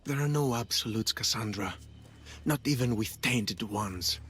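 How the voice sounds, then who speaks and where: An actor playing a philosophical, quietly menacing cult storyteller in a video game, speaking in a measured, eloquent, theatrical way. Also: male voice, fairly low pitch. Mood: reflective, courteous. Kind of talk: video game dramatic acting. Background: music.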